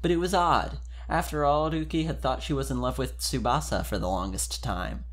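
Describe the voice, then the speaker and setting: Man, mid-range voice, expressive, informal, podcast-style story reading. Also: mid-range voice, normal speed. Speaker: podcast member reading fan fiction aloud. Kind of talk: dramatic reading of fan fiction with casual introduction.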